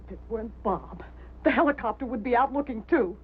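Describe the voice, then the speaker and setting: A woman's voice: fairly high pitch, a little fast, slightly rough. An actress playing the anguished, accusing wife of a missing man, pleading and reproachful in an old film drama. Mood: bitter, accusing. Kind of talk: mid-century American film drama acting. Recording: muffled, band-limited old film soundtrack, faint hum.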